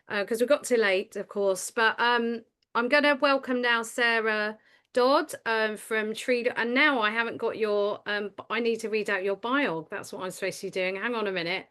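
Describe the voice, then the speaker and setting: A woman's voice: a little high, clean tone. Hesitant woman hosting a meeting. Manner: warm, hesitant, many restarts. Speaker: meeting host.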